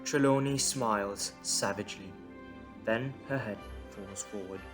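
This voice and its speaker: A man's voice: fairly low pitch, unhurried, music in the background. A man reading scripted story narration as the narrator of an audio drama.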